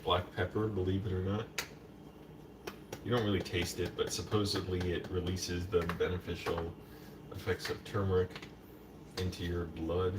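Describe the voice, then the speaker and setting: A man's voice: sits low, level tone, moderate pace, long pauses. A man casually chatting on a live stream while preparing food in his kitchen, rambling to himself and dropping in Spanish words. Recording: distant mic with noticeable background noise.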